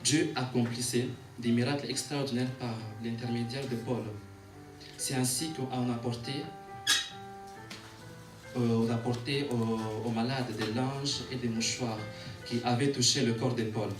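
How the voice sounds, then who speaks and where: A male preacher with a medium-pitched voice, heard at some distance in an echoey room. He delivers a sermon, repeating and rephrasing his lines and punctuating them with amens, while music plays clearly behind him. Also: fairly even intonation. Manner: halting when reading aloud, repeats and rephrases phrases; rhythmic repetition, calls for amens. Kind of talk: sermon with scripture reading.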